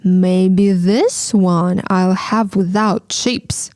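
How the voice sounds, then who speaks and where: A woman voicing a mean, hungry dragon in a children's storybook, speaking slowly and with menace. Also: medium pitch, steady tone, a little mellow, dry recording, studio quality. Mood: greedy.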